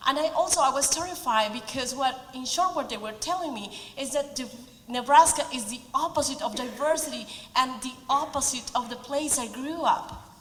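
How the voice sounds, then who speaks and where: A woman's voice: sits high, moderate pace, a bit bright, slight background noise. A woman in her forties from Peru with a Spanish accent, giving an earnest speech with light humor at a community event. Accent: Peruvian Spanish. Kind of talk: prepared speech. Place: event hall. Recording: roomy hall reverb.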